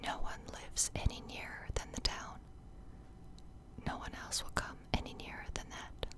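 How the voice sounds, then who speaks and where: A woman reader plays a dour housekeeper from a gothic storybook, all in whisper. She recites her ominous rules slowly and flatly, rigid and gloomy. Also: lightly acted.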